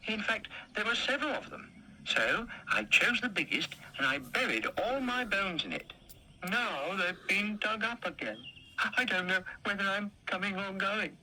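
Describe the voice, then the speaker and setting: A man voicing a gentle, easily upset dog with a plaintive, wondering manner in a storybook reading. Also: high voice, lively intonation, moderate pace, slight background noise, exaggerated voice, low-quality recording.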